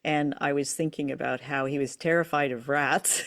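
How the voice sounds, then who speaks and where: A woman's voice: low voice, steady tone, normal speed. A woman co-hosting a radio show and podcast, talking in a casual, conversational way.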